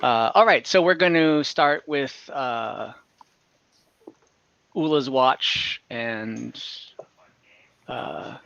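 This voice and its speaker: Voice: mid-range voice, drawn-out words, vocal fry, pauses often, fairly bright tone, no echo, dull audio. A game master running an online fantasy tabletop game, improvising narration and thinking aloud in a casual, easygoing way. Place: online voice call.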